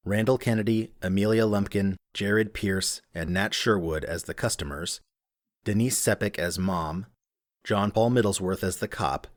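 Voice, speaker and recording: A man's voice: low voice, no echo, studio quality. A man reading scripted closing credits as the announcer. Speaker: announcer, sound designer. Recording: soft theme music behind.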